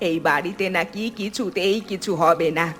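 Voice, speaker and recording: A woman playing a low-pitched reproving village woman. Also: steady tone, normal speed, a bit bright, natural voice. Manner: earnest, moralizing. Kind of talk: Bengali cartoon dialogue. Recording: music behind the voice.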